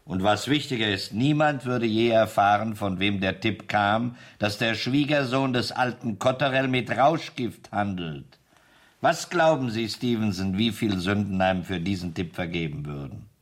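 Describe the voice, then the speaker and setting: An actor playing a smug, menacing gangster boss in a German radio crime drama, coolly mocking and commanding. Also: male voice, fairly low pitch, moderate pace, lightly acted.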